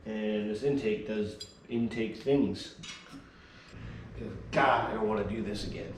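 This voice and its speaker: A man casually narrating his repair work in a workshop, half muttering to himself as he works, a little hoarse. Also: on the low side, unhurried, reverberant, slight background noise, rough recording. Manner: relaxed, mutters quietly while working. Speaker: vlogger working on a small motor vehicle.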